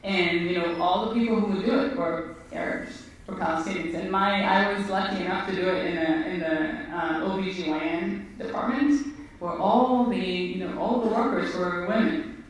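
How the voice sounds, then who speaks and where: A woman's voice: fairly low pitch, normal speed. A woman physician, telling her story earnestly and reflectively in a conversation. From Israel. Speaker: physician guest. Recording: echoey room, distant mic, faint noise.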